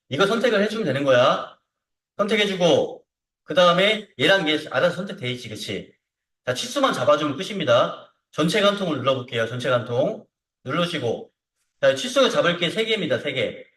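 A man teaching a hands-on software lesson step by step, informally, often checking with short tag questions that the learner is following. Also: a little high, sing-song, moderate pace. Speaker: CAD instructor. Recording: roomy echo, distant microphone.

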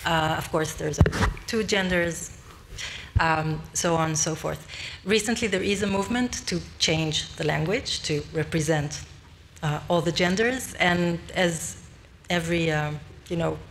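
A woman's voice: deep voice, unhurried, slight background noise. A woman from Israel, a native Hebrew speaker, commenting from the audience into a handheld mic, with friendly, hesitant fillers. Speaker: audience member asking a question. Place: large indoor hall. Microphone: throwable audience mic.

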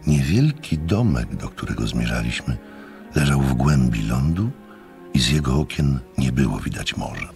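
Very deep, gravelly gentleman narrator. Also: very animated, soft background music, heavily acted. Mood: reflective, descriptive. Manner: measured, literary storytelling. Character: courteous first-person gentleman narrator, reflective and observant. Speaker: narrator and visitor in a radio play. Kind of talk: radio drama narration.

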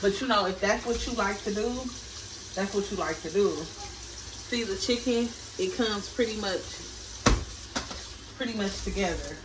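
A woman's voice: mid-range voice, normal speed, pauses often, background noise. Chatty woman talking to a kitchen camera. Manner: chatty, addresses viewers as y'all. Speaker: vlogger. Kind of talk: casual cook-with-me chat. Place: small home kitchen. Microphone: camera across the kitchen. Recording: roomy kitchen audio from a distant camera, running water.

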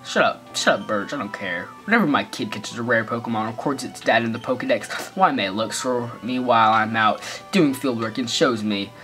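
A man's voice: mid-range voice, a little fast, fairly bright tone. Joking American guy narrating gameplay. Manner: joking, rambling, sarcastic asides. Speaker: let's play gamer. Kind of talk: goofy let's play commentary. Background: faint game music.

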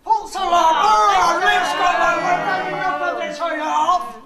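A woman acting excitable characters in a storybook radio play. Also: extremely high pitch, takes it slowly, a loud crowd. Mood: urgent, exasperated. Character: excitable villagers and mean old wolf. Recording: echoey room with music behind.

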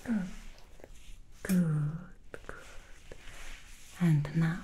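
A woman voicing a seductive female demon hairstylist in an ASMR roleplay, whispering softly close to the mic with slow, soothing reassurances. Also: deep voice, slight background noise. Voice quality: soft whisper. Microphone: close sensitive ASMR mic.

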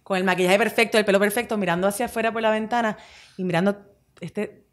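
A woman's voice: mid-range voice, fairly even intonation, quick pace. A woman in her forties with a Puerto Rican accent, chatting candidly as an interview guest, talking with her hands and slipping into English phrases. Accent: Puerto Rican Spanish. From Puerto Rico. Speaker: guest, presenter and actress. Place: small studio with a table. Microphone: table mic on a stand.

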